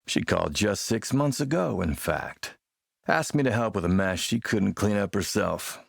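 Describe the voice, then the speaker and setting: A man playing a shrewd, menacing militia boss and smooth-talking dealmaker in a video game, gravelly, measured, self-assured and wry. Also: fairly low pitch, animated, no echo, studio quality, full character voice. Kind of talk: video game character dialogue.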